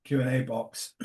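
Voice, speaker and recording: A man's voice: medium pitch, dark tone. Man hosting while getting over a cold. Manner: throat-clearing, apologetic. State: losing his voice from a cold. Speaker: webinar host. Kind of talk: informal hosting. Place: online webinar.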